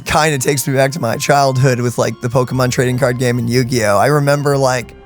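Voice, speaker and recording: A man hosting a let's play, reacting in casual, excitable bursts. He rambles with fillers, and faint game music sits behind him. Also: mid-range voice, a little fast. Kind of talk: casual gameplay commentary.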